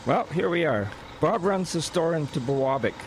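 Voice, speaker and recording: A man playing a practical, easygoing boss of delivery drivers in an audio drama, talking in a measured, matter-of-fact way. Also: a little high, expressive, close to an everyday voice, rough recording. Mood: thoughtful. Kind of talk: audio drama acting, naturalistic dialogue. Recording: clear vehicle and outdoor noise behind.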